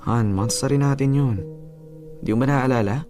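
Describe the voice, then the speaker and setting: A man's voice: medium pitch, normal speed, natural voice. A man acting a jealous, possessive young boyfriend in a radio drama, hurt and pleading. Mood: coaxing. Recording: music behind the voice.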